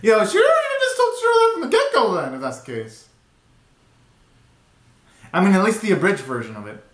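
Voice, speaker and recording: A man's voice: high voice, very animated, brisk, a bit bright. A young man giving casual, slangy and exclamatory reaction commentary as a video host, recorded in a small bedroom. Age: young adult. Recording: roomy, echoey bedroom sound.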